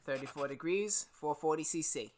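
An actor playing a timid, dim, obedient laboratory assistant in an old-style horror radio drama, hesitant and stammering. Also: male voice, fairly high pitch, normal speed, a little mellow, slight background noise, lightly acted. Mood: dutiful, meek.

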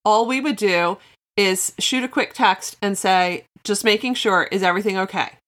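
A woman's voice: medium pitch, moderate pace, fairly bright tone. A woman interviewer talking casually and sympathetically in conversation.

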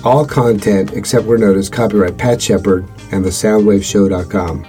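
A man hosting a podcast, reading a scripted explanation in an earnest, measured way. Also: lowish voice, steady tone, warm tone, faint music behind. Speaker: podcast host.

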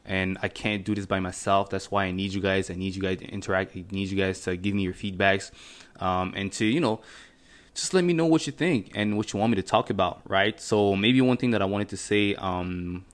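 Earnest young French Canadian man, low voice. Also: fairly quick. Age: 20s. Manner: earnest, motivational, many fillers and restarts. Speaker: podcast host. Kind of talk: casual solo podcast monologue.

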